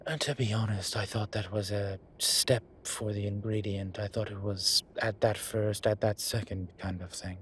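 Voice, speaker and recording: A man playing a flirtatious, cheeky incubus demon in an audio roleplay, talking in a teasing, suggestive way with drawn-out pauses. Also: fairly low pitch, fairly even intonation, fairly bright tone, a little hiss or hum, natural voice. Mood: defensive bickering. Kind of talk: audio roleplay acting, one-sided conversation.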